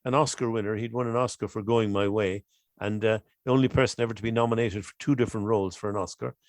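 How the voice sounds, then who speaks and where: A man's voice: on the low side, fairly even intonation, quick pace, no room sound, studio quality. A man from the west of Ireland with an Irish accent, talking as a podcast guest and repeating phrases for emphasis. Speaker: podcast guest, film expert. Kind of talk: conversational interview commentary.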